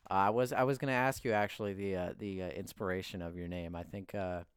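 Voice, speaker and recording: A man's voice: sits low, steady tone, quick pace, clean tone. A teenage boy hosting a casual podcast interview, with a lot of hesitant filler words. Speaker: podcast host.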